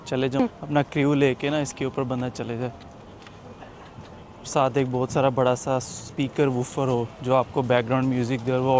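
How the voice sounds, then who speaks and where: A man's voice: medium pitch, darkish tone. A young man chatting casually to viewers as he walks through a busy tourist area. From Pakistan. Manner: chatty, jokey, talks to viewers while walking. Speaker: travel vlogger. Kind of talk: casual walking vlog narration. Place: outdoors at a busy tourist waterfront. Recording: outdoor street noise. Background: street noise and traffic.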